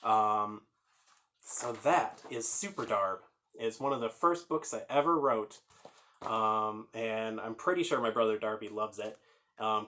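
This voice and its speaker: A man's voice: fairly low pitch, fairly bright tone. A man hosting a children's story time, reading aloud and chatting informally, explaining things and putting questions to the listeners.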